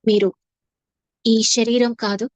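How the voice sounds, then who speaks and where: A woman leading a guided meditation as a teacher, soothing and unhurried, with long pauses and repeated phrases. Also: on the high side, little pitch movement, no echo. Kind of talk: guided meditation, slow instructive narration.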